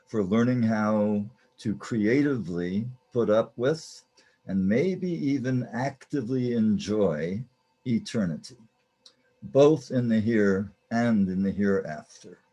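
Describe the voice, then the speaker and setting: A man hosting and narrating a radio play introduction in a deliberate, formally theatrical manner. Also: fairly low pitch, warm tone. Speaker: host, narrator.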